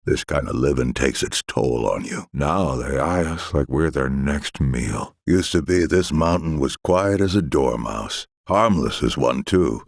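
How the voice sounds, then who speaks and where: A man's voice: rumbling low, lively intonation, a little slow, gravelly, few pauses, dry recording, clean studio sound, heavily acted. A man playing a resigned mountain-town local in a video game, worn down by hardship. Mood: weary, bitter. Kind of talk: video game NPC voice acting.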